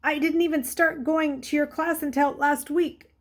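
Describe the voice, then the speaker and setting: A woman's voice: high-pitched, fairly even intonation, moderate pace, pitched above the performer's own voice. A woman voicing an anxious schoolboy who speaks hesitantly and keeps asking questions. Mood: nervous.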